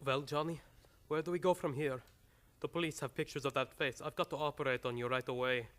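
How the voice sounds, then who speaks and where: A man's voice: mid-range voice, fairly even intonation, brisk, fairly bright tone, lightly acted. A man playing a nervous, pleading crooked doctor and criminal sidekick in a radio play, in simple broken phrases. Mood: anxious pleading. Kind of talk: old-time radio play acting.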